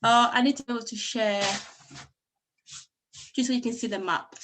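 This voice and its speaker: A woman's voice: fairly high pitch, normal speed, slight background noise. A woman who grew up in Congo with Lingala as her first language, casually telling her own story on a video call, with many restarts. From Congo and Angola. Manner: warm, rambling, with many restarts. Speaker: speaker sharing her story with a group. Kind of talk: casual personal talk.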